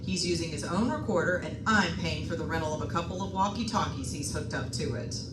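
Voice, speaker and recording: A woman's voice: deep voice, fairly quick, over loud music, natural voice. A woman playing a folksy, colloquial, eager real estate agent in an old-time radio suspense drama. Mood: breezy, persuasive. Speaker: radio play performer. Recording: roomy, distant microphone, clear background noise.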